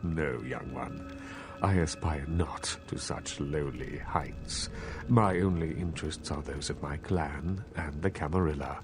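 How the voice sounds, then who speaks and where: An actor playing a courtly, guarded elder vampire in a video game, speaking in a formal, measured, condescending manner. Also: male voice, a bass voice, music in the background. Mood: patronizing. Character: courtly, guarded elder male vampire clan leader, patronizing and calculating. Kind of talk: video game dialogue acting. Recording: faint background ambient noise.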